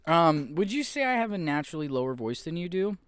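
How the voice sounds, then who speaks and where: Animated young man chatting on a podcast. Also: fairly high pitch, quick pace, clear, resonant tone, dry recording, studio quality. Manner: chatty, laughs easily, restarts sentences. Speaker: podcast co-host. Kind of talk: casual chat. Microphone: desk podcast mic.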